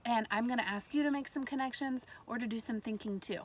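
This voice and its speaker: High-voiced woman reading aloud to young children. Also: fast talker. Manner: animated storybook reading with questions to listeners. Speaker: kindergarten teacher reading aloud. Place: outdoors in a backyard tree fort among trees. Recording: faint outdoor ambient noise.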